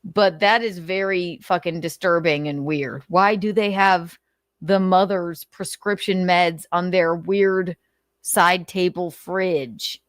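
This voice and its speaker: A woman's voice: fairly low pitch, fairly even intonation, slow pace, no echo, clean studio sound. A woman host reading notes aloud and adding blunt, sarcastic commentary, swearing freely. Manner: sarcastic, mocking.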